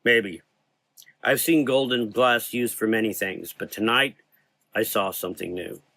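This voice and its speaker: A man playing a weary, learned and brooding occult hunter, speaking in a measured, formal, ominous way in a gothic horror audio drama. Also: fairly low pitch, bright, forceful tone, no room sound. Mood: weary, quiet.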